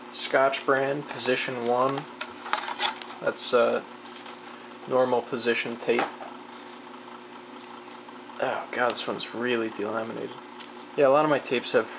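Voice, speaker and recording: Gravelly casual voice over noisy machine hum. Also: mid-range voice. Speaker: hobbyist demonstrating vintage tape recorder. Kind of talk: casual off-the-cuff demonstration chat. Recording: clearly noisy home video, machine hum.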